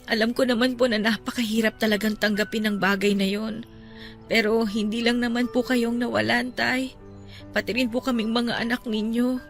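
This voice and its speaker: A woman playing a devoted young adult daughter in a radio drama, gentle and respectful. Her voice is on the high side and earnestly pleading. Soft music runs behind her. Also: flat delivery, moderate pace, lightly acted. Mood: gentle, sorrowful. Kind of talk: emotional radio drama acting. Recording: music under the voice.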